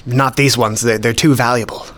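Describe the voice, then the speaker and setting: A man's voice: medium pitch, steady tone, moderate pace, fairly bright tone, close to an everyday voice. An actor playing a modest, precise male hobbyist collector, explaining things methodically in a radio play. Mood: matter-of-fact, modest. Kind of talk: scripted dialogue, explaining.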